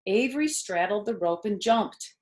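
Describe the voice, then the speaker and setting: A woman storyteller narrating a children's book in an expressive reading style. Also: mid-range voice, moderate pace.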